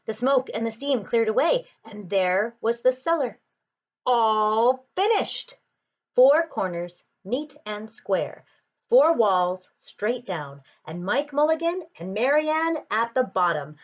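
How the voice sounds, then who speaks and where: A woman reads a children's storybook in a fairly high voice with very lively intonation. Her phrasing is expressive and deliberate. The recording sounds slightly dull and muffled. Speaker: storybook reader. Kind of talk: children's picture book read-aloud.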